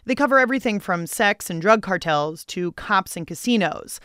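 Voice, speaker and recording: A woman's voice: medium pitch, expressive, moderate pace, fairly bright tone, clear, resonant tone, dry recording, studio quality. A woman with an American accent hosting a public radio show in a conversational way.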